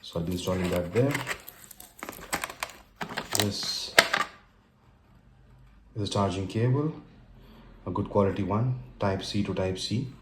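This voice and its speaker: A man with an Indian accent narrating an unboxing casually and unscripted, in halting phrases broken by pauses. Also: deep voice. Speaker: tech and motorcycle vlogger. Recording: roomy echo with faint background noise.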